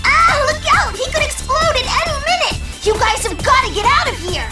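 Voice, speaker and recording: A woman's voice: very high voice, big pitch swings, fairly quick. A woman voicing a perky, chatty, childlike race announcer with eager naivety in a video game cutscene. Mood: alarmed. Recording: echoey, distant voice over music.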